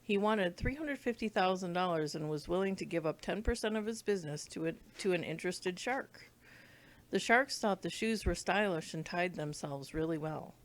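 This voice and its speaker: A woman reading a children's book aloud, now and then stumbling over words and rereading them. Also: on the low side. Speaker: read-along reader.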